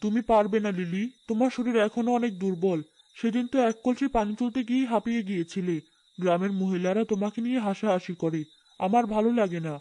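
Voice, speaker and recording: Woman voicing a firm, lower-toned village elder sister. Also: fast talker, warm tone, lightly acted. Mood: stern, practical. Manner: firm, practical. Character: stern but caring, hard-working poor village elder sister. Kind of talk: cartoon fairy-tale dialogue.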